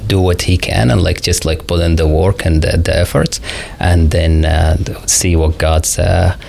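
A middle-aged man from Saudi Arabia, a proud father being interviewed on radio, speaking haltingly with many restarts and fillers. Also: low voice, level tone, creaky, a little mellow. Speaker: father of a young champion, guest.

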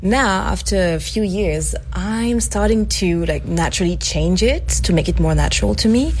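A woman whose first language is French, chatting as an actress guest in an interview, lively and laughing often. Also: medium pitch, normal speed, a little hiss or hum. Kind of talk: casual interview chat.

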